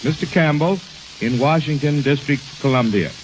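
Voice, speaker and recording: A man announcing on 1920s radio in a formal, measured manner. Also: fairly high pitch. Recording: hissy crackling 1920s radio recording.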